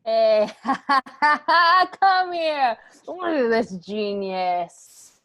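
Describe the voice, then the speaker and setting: A foul-mouthed, exasperated mob boss, acted by a woman in a crime radio play. Her voice is a bit high, brash and emphatic, recorded very dry. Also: expressive, very slow, a bit bright, dry recording, full character voice. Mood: boisterous greeting.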